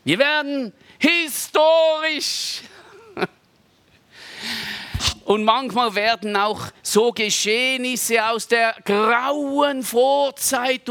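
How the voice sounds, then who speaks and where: Slow-paced preacher, high voice. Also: male voice, no echo. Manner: conversational, seeks agreement with frequent rhetorical tags, dips to soft asides. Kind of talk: sermon.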